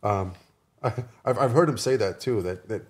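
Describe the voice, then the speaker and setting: A man with a fairly low voice speaks as a guest in a casual podcast discussion. His delivery is halting, and he reworks his phrases as he explains.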